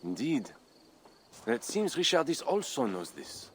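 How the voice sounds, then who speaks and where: A man's voice: mid-range voice, wildly swooping pitch, a little slow, a little hiss or hum. An actor playing a proud, ambitious Frankish nobleman in a video game, solemn and theatrical, with a French accent. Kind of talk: video game cutscene acting.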